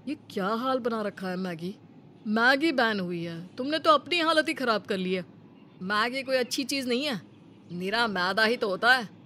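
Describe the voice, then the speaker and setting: Lady doing a young husband in a cartoon, earnest n insistent, devoted to his wife, high for a guy. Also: lively intonation, moderate pace, bright, forceful tone, a little hiss or hum. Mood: scolding, concerned.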